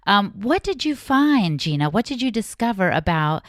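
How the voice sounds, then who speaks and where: A woman hosting a podcast who asks clear, engaged interview questions. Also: medium pitch, very animated, moderate pace, no room sound, studio quality. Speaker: podcast host.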